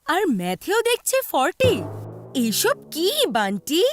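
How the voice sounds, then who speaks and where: Exasperated cartoon mother, scolding, high pitch, animated swings, music underneath. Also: a little slow, full character voice. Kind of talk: dubbed children's cartoon acting.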